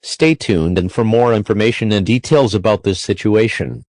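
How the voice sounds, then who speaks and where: A man's voice: on the low side, normal speed, a little mellow, dry recording, clean studio sound. A man reading a scripted emergency bulletin in a formal manner as an alert announcer.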